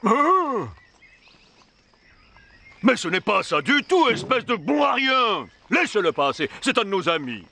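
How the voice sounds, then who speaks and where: A man's voice: high-pitched, very animated, unhurried, gravelly, pauses often, bright, forceful tone, slight background noise, exaggerated voice. An actor playing a jovial, pompous, easily impressed older king in a French cartoon. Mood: blustering. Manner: jolly, pompous, exclaiming. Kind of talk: French dubbed cartoon acting. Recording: faint music behind the voice.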